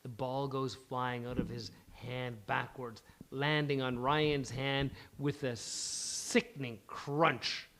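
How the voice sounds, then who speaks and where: A man's voice: mid-range voice, little pitch movement, takes it slowly, hardly any pauses, fairly bright tone. A man narrating a comedy script aloud at a live table read, dramatically playing up the action. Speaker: stage-direction narrator at a live script reading.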